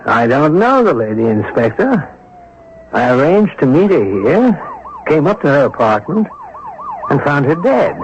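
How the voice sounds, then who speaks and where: A breezy middle-aged rogue in a vintage radio play. His voice sits fairly high for a man and swings freely, with playful, quick-witted flair, on a dull old recording. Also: big pitch swings, a little hiss or hum. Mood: cool, defensive. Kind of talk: old-time radio drama acting.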